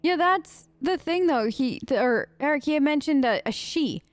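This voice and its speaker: A woman's voice: sits high, animated, normal speed, dry recording. A young woman giving chatty, playful role-play commentary while playing a game, close on the mic. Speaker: let's play host.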